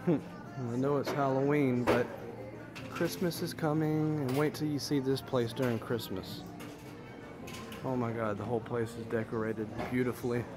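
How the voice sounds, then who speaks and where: A man's voice: medium pitch, steady tone, moderate pace, music in the background. A man vlogging as he walks through a large department store, chatting and easily delighted. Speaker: travel vlogger. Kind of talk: casual walkthrough vlog.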